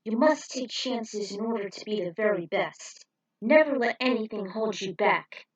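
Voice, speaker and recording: A woman's voice: medium pitch, lively intonation, moderate pace, slightly rough, highs cut off. A woman voicing a bold, cocky veteran male racer who barks commands and taunts in a fan-fiction story. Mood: stern, taunting. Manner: forceful, taunting, barking commands.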